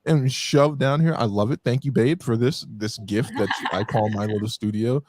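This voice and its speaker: A man's voice: mid-range voice, moderate pace, darkish tone, no echo, studio quality. A man hosting a casual interview in an informal, joking, slangy way. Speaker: interview host. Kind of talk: casual chat. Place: small home studio in a finished basement.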